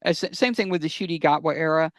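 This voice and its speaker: A man hosting a casual fan podcast, talking enthusiastically and sometimes haltingly as he gives recommendations. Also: a little high, no echo, studio quality. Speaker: podcast host.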